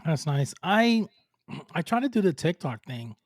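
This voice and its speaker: A man's voice: a little high, sing-song, unhurried, dry recording, clean studio sound. A man hosting a casual podcast interview, hyped and playfully exaggerating as he repeats himself. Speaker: podcast host.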